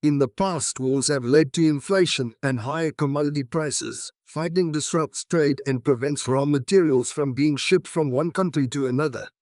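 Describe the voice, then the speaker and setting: A man's voice: on the high side, moderate pace, few pauses, darkish tone, no echo, studio quality. A man reading short scripted practice passages aloud as an exam narrator.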